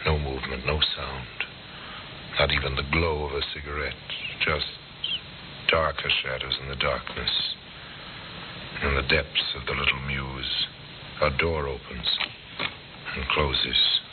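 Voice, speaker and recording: A man's voice: a bass voice, level tone, unhurried, long pauses, heavy background noise. A man acting as a brooding, portentous storyteller in an old-time radio crime drama, speaking in terse dramatic phrases. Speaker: narrator and host. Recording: tinny old radio recording, loud music. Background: dramatic music.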